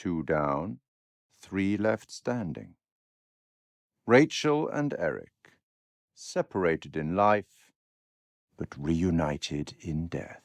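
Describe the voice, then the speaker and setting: An actor playing a refined, sardonic and ominous male curator of macabre tales, narrating a horror game in a measured, dramatic, dryly mocking way. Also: deep voice, animated, creaky, no echo, studio quality, full character voice. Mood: mock pity. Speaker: narrator and host figure of a horror video game. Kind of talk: theatrical scripted game narration.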